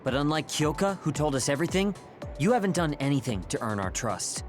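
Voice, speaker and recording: A man's voice: fairly high pitch, very animated, brisk, music in the background. A man playing a blunt, distrustful young squad member in an English video game dub, guarded and critical. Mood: cold, distrustful.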